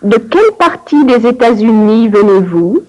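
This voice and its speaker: A woman reading scripted dialogue for a language course with clear, careful enunciation. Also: on the high side, very animated, measured pace, warm tone, low-quality recording.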